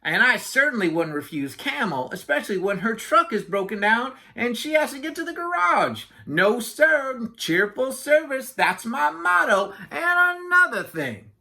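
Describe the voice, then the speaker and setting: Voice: medium pitch, sing-song, a little slow, creaky, few pauses, sharp tone, pitched above the performer's own voice, heavily acted. A storybook reader voicing a chatty, self-important yak taxi driver who rambles indignantly and obliviously. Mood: boastful, rambling. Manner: rambling, indignant, never lets others speak.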